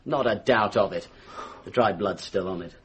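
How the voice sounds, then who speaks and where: An actor playing a self-satisfied English police inspector in a radio mystery, brisk and condescending in manner. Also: male voice, on the low side, noisy background, lightly acted. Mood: smug. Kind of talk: radio mystery drama acting.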